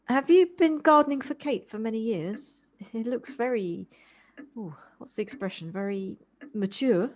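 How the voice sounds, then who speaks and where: A woman's voice: fairly high pitch, normal speed, close to an everyday voice. An actress playing a busy city career woman in an audio drama, polite, chatty and slightly flustered. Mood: polite, friendly. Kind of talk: amateur audio drama acting.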